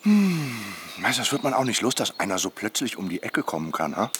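An actor playing a boisterous, jovial middle-aged husband in a naturalistic radio drama, garrulous and bantering. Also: male voice, fairly low pitch, sing-song, a little fast, a little hiss or hum. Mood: jovial, rambling.

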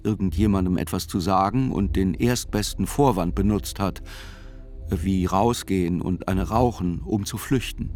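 An actor narrating as a measured, dryly ironic chronicler of a trial in a literary radio play. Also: male voice, fairly low pitch, darkish tone. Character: probing, wry male chronicler of a trial.

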